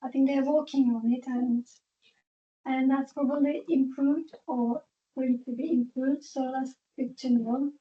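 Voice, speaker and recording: Woman, high voice, halting speech, dull lo-fi sound. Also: steady tone. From Turkey. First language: Turkish. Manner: halting, restarts and non-native phrasing. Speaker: patient sharing her story. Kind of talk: personal testimony at a meeting. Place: meeting room. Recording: dull lo-fi meeting audio.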